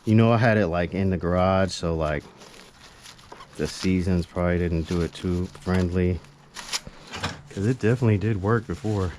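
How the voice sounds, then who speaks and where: A man's voice: deep voice, moderate pace, pauses often. A man chatting casually and unscripted as he works on a repair for a vlog, slangy, half talking to himself and half to viewers, recorded on an action camera. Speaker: amateur repair vlogger. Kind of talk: casual unscripted chat while working on a repair. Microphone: GoPro action camera. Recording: noisy action-camera audio.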